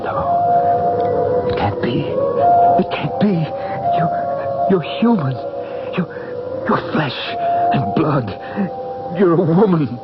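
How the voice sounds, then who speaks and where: Anxious, swooping radio-drama bridegroom. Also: male voice, on the high side, lively intonation. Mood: frightened disbelief. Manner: halting, overwrought, theatrical. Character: anxious, ardent bridegroom, haunted and pleading. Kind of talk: old-time radio drama acting. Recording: muffled old radio broadcast, music underneath.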